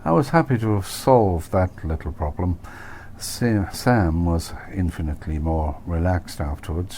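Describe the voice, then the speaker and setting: A man's voice: low voice, a little mellow, slight background noise. A man reading his own first-person novel aloud as an audiobook, in a wry, dry manner.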